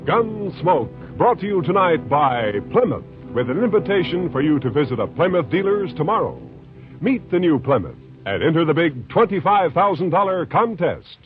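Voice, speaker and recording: A man's voice: a little high, sing-song, moderate pace. A man announcing a 1950s radio show in a declamatory style. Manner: resonant, declamatory. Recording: dull 1950s radio broadcast with music.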